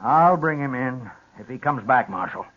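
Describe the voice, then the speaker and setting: A crusty old rancher in an old radio western. He talks bluntly and sternly in a rural Western American accent at a middling pitch, on a muffled vintage recording. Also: lively intonation, moderate pace, a bit bright. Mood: grim, determined. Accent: rural American West. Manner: blunt, folksy, commanding. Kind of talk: 1950s radio western acting.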